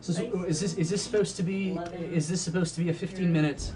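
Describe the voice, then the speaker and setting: A man's voice: high-pitched, fast, crowd noise. A man chatting casually as a charity stream co-host. He tells stories and often stammers through restarts. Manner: animated storyteller, stammering restarts. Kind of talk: casual chat.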